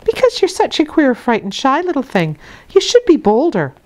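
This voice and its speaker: A woman voicing a brisk young nursemaid from a storybook, cross but kindly, with a chiding, lilting manner. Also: high-pitched, wildly swooping pitch, a little mellow, voice pushed up. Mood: teasing, fond.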